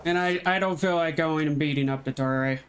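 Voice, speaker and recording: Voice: mid-range voice, a little fast, a bit bright, clear, resonant tone. A game streamer giving casual, offhand, laid-back commentary while playing live. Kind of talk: casual livestream gameplay commentary. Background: faint game music.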